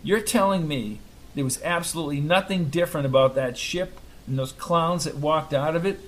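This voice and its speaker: A man's voice: a little high, moderate pace, natural voice. A man playing a blunt, sarcastic male reporter. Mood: pushy. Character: aggressive male journalist, sarcastic and combative.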